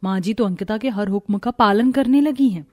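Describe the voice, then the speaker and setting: A woman's voice: medium pitch, a little fast, a little mellow, no room sound, clean studio sound. A woman narrating a scripted moral story.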